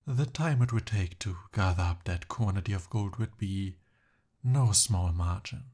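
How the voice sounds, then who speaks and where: A man playing a smooth-talking, eager young captive prince in an ASMR roleplay, with formal, courtly and hesitant phrasing. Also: low-pitched, a little slow, a little mellow. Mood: hopeful, coaxing.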